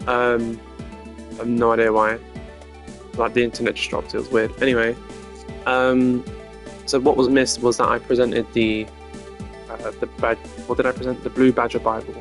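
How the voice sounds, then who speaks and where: A man's voice: mid-range voice, little pitch movement, normal speed, long pauses. Hesitant, chatty male streamer. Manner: hesitant, fillers, loose and chatty. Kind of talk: casual chat between game scenes. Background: game music.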